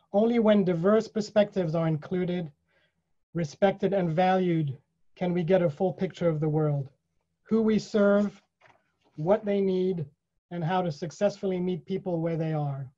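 An older man hosting an online event, giving welcoming remarks in a measured way, pausing and repeating phrases deliberately. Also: sits high, warm tone. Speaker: event host. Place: small indoor room. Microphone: computer mic on a video call. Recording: dull, lo-fi video call audio.

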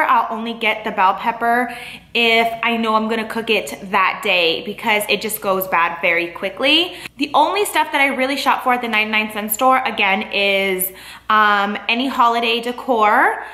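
Young female vlogger, chatty and rambling, fairly high voice, roomy camera-mic sound. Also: normal speed, bright, forceful tone. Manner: bubbly, rambling, lots of "like" and "um". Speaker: lifestyle vlogger. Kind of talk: casual chatty vlog. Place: store aisles, then a home living room.

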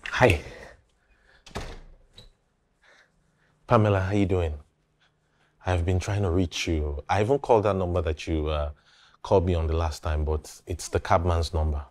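Casting: man to play a glib, slippery ladies' man in a film drama, low-pitched voice. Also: moderate pace, lightly acted. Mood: casual, cheerful. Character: smooth-talking male lover, evasive and defensive when cornered.

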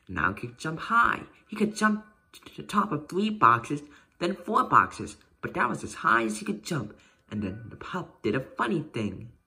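A man reads a children's story aloud as the narrator. His voice is bright and clearly projected, with very lively rises and falls. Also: medium pitch. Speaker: storybook reader.

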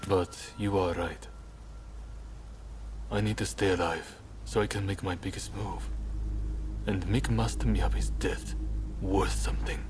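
An actor playing a composed, resolute samurai rebel leader in a video game, speaking in a guarded, formal, measured way. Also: male voice, low voice, background noise, close to an everyday voice. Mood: grave, brooding. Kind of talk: video game cutscene voice acting. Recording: dramatic music behind the voice. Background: game score.

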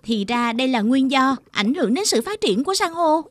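A woman voicing a caring, responsible older-sister girl in a children's cartoon, gently instructive. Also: high voice, big pitch swings, fairly quick. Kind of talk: animated children's series dubbing.

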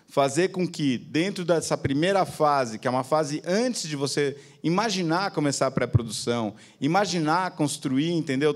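A man in his forties with a Brazilian Portuguese accent, a TV channel executive speaking to an audience on an auditorium stage with a handheld mic, chatty and peppering his talk with tag questions. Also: fairly high pitch.

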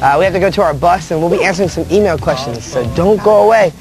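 A young man co-hosting a music countdown in relaxed, joking banter at an outdoor venue. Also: high-pitched, fast. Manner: laid-back, joking. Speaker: band member co-hosting a countdown. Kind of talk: casual banter.

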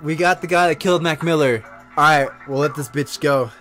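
A young man streams a game from home, throwing out loud, crude jokes as he plays. His voice sits high for a man and comes through a close studio mic, with faint game music beneath. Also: fairly quick. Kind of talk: casual reaction commentary. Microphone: studio condenser on arm.

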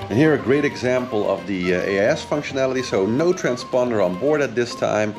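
A man's voice: medium pitch, animated, a little fast. Enthusiastic man reviewing gear on a sailboat. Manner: enthusiastic, with frequent fillers. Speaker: sailing vlogger and charter captain. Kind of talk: casual product review. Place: on a sailboat, outdoors. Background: music.

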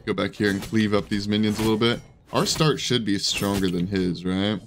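A man with a lowish, hoarse and scratchy voice talks through his own match in casual, rambling commentary. He trails off, restarts and fills gaps with loose filler as he plays. A faint hiss sits under the voice. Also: fairly even intonation, normal speed. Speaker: gaming video creator. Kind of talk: live gameplay commentary.

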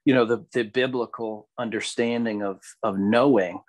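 A man co-hosting a podcast in casual, reflective discussion, halting as he searches for words. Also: fairly low pitch, fairly even intonation. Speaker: podcast co-host.

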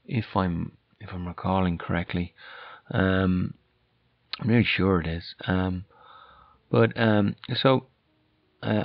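A middle-aged Irish man with a softened accent hosting a casual solo podcast, talking in a hesitant, rambling, self-correcting way. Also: lowish voice, vocal fry, dry recording. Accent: Irish, softened by years abroad. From Ireland. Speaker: podcast host and author. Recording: dull, lo-fi, close dry sound.

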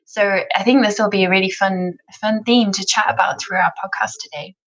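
A woman's voice: mid-range voice, steady tone, fairly quick, fairly bright tone. A woman in her thirties from South Africa, chatting as a podcast guest with lots of fillers and restarts. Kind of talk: casual interview chat. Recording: slightly dull lo-fi sound.

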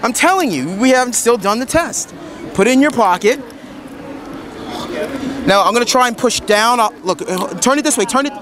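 A man's voice: extremely high pitch, animated, moderate pace, long pauses. A man giving an informal hands-on demonstration, talking insistently and repeating short commands. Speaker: product demonstrator at a convention booth. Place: convention booth in large indoor hall. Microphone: camera a few metres away. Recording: busy convention hall crowd noise.

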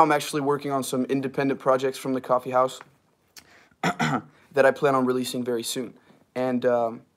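A young man in his twenties with a slightly hoarse, strained voice, rambling casually with fillers and laughs as he reads viewer comments on a livestream from a small wood-paneled garage room, heard through a device camera at arm's length. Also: mid-range voice. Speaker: livestream host.

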